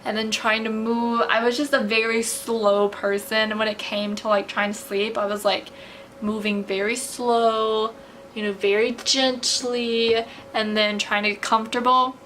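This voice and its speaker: A woman's voice: on the high side, flat delivery, unhurried, a bit bright, a little hiss or hum, rough recording. A young woman vlogging in a casual, rambling way, full of "like" and "um", her voice tiring from talking. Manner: rambling, many filler "like"s and "um"s. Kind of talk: casual chat to camera.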